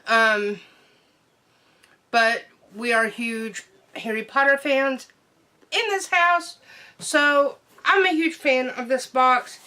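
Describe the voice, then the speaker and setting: A young woman chatting casually through an unboxing while sick, her voice nearly gone, excitable and full of ums. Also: on the high side. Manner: excitable, many ums and trailing asides. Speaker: unboxing vlogger.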